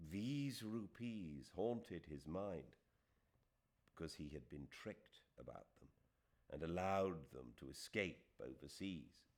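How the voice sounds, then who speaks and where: A man with a British accent reading literary fiction as an audiobook narrator, with dramatic, deliberate phrasing. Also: a bass voice, a little mellow. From Britain.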